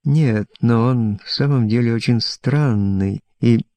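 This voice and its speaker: A man's voice: mid-range voice, slow pace, darkish tone, dry recording. A man voicing a frightened, polite young woman in audiobook dialogue, hesitant and anxious in manner. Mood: uneasy, frightened.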